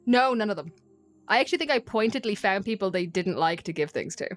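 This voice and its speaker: An Irish woman speaks as a chatty podcast guest at a middle pitch. She tells stories casually, with wry, self-deprecating humour. Faint music plays behind her. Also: animated, quick pace. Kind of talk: casual storytelling chat.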